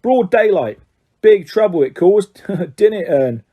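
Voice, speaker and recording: A man's voice: high-pitched, animated, slow, breathy edge, phone-quality sound, pitched above the performer's own voice, full character voice. A man voicing an eager, gossipy young male wizard bus conductor in an audiobook. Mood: excited gossip. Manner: eager, gossipy, fond of tag questions. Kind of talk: audiobook character voice.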